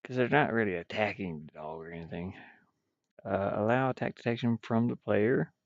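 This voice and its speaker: Man, lowish gravelly voice, unhurried casual muttering, think-aloud streamer, dry dull recording. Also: no room sound. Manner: rambling, muttering to himself. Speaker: live streamer and indie game developer. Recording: dull, muffled, dry lo-fi microphone.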